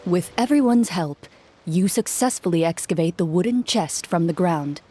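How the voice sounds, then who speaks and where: A woman narrating a fantasy quest in the second person with measured storytelling. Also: on the low side, lively intonation, warm tone, a little hiss or hum. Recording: with music behind.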